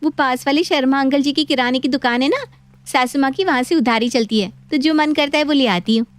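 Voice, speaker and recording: Woman playing a high-voiced dutiful young daughter-in-law. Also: a little fast, lightly acted. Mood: dutiful, polite. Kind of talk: animated Hindi moral-story cartoon.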